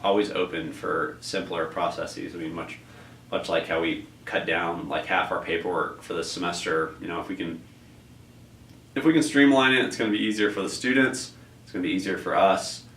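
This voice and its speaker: A man's voice: sits low, moderate pace, a bit bright. A man coordinating a tutoring program, explaining the process in a rambling way with many fillers and restarts. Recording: echoey room, distant mic, faint noise.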